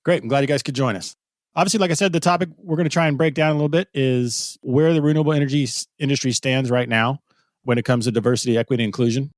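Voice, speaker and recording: A man's voice: mid-range voice, fairly even intonation, quick pace, dry recording, studio quality. Man hosting an upbeat podcast. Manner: upbeat, scripted intro then conversational questions. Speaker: podcast host.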